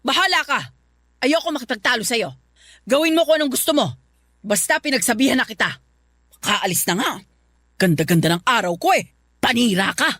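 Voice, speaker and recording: A woman's voice: a little high, animated, fairly quick, long pauses, fairly bright tone, dry recording. A woman with a Filipino accent playing a stern, bitter middle-aged mother in a radio drama, scolding and domineering. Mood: fed up. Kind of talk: Tagalog radio drama acting.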